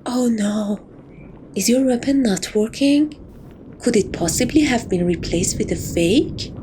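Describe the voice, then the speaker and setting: Slow, high-voiced teasing catgirl thief acted by a woman. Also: dark tone, slight background noise, natural voice. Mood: teasing, coy. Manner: teasing, coy, theatrical, affectionate. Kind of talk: romantic ASMR roleplay.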